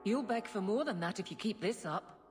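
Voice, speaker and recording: An actress playing a blunt, earnest woman guard captain who gets flustered and halting, in cinematic video game dialogue. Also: medium pitch, animated, faint music behind, lightly acted. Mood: flustered, irritated.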